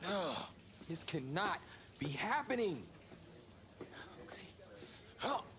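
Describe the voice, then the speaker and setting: A man playing a young, cocky college football quarterback in a video game drama, talking in a casual, slangy, expressive way. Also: sits high, takes it slowly, background noise, heavily acted. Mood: flustered. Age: young adult. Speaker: voice actor. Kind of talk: naturalistic sports video game drama acting.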